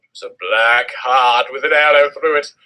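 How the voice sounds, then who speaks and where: A man's voice: medium pitch, moderate pace, bright, forceful tone. A man giving a mock-dramatic reading of a story in an overwrought, theatrical manner. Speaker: reader of a fan fiction story.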